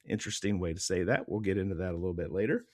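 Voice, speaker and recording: A man's voice: deep voice, very fast, no room sound, clean studio sound. A man hosting a casual interview podcast in an informal way, his voice stuffy and congested. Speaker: podcast host.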